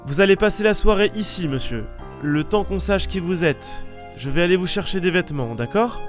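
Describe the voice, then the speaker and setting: A man's voice: high-pitched, animated, normal speed, faint music behind, voice pushed up. A man playing a polite, earnest young police lieutenant in an audio drama. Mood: earnest, gentle. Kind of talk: audio fiction character acting.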